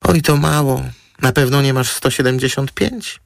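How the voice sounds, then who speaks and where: A man's voice: mid-range voice, normal speed, vocal fry, dry recording, clean studio sound, voice pushed up, close to an everyday voice. A man voicing a gushing, theatrical young female model with a cooing, coquettish manner in an audiobook.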